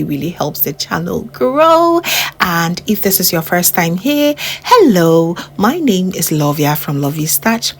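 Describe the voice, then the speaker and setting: A young Ghanaian woman talks through a recipe with eager, gushing enthusiasm, often repeating words for emphasis. Her voice sits fairly low for a woman. A faint noise stays in the background. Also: gravelly. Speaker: cooking channel host. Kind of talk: cooking tutorial voice-over.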